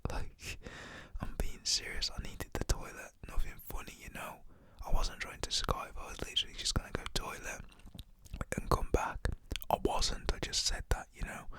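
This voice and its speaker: A young British man whispers a casual, slangy, rambling story in an ASMR style.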